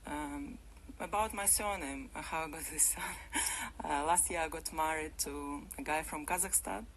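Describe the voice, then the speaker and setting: A woman's voice: low voice, moderate pace, fairly bright tone, a little hiss or hum, rough recording. A woman from Ukraine, a radio interview guest in a studio, speaking English in simple, phrasing. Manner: simple, non-native phrasing, laughs lightly.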